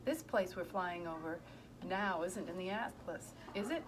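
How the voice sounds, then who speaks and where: A woman's voice: fairly low pitch, expressive, measured pace, background noise. Woman reading as a cheerful, breezy young pilot.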